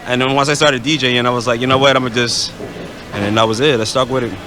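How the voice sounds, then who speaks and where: A man's voice: medium pitch, steady tone, fast talker, noisy background. A man from the Bahamas, a music producer and DJ, giving casual interview answers about himself.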